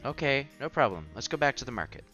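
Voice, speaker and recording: Bright-voiced, animated man reading aloud. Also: medium pitch, quick pace. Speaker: streamer reading a visual novel aloud. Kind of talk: casual read-aloud with offhand commentary. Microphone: headset. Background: soft music.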